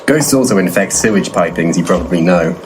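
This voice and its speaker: A low-pitched man's voice over faint background noise. a brash, foul-mouthed paranormal investigator out for money, delivering nonsense deadpan and giving bossy orders in a comic audio drama. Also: a little fast. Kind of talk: full-cast comedy audio drama.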